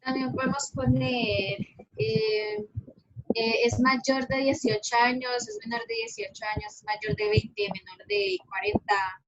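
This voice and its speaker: A woman, a student, calling out suggestions in a lively way. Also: sits high, unhurried, creaky, muffled recording, faint music behind.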